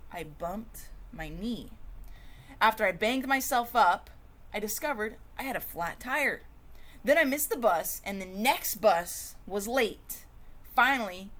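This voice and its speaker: A woman voicing a sour, grudge-holding storybook grape who is learning to mellow, with dramatic, emphatic delivery. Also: a little high. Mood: exasperated. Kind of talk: expressive first-person picture-book read-aloud.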